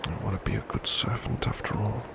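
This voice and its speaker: A man playing a big, burly, devoted butler in a soft ASMR roleplay, murmuring in a breathy, polite, tender and protective way. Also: on the low side, a little fast, noisy background, lightly acted. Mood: gently insistent. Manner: polite, formal, tender, reassuring.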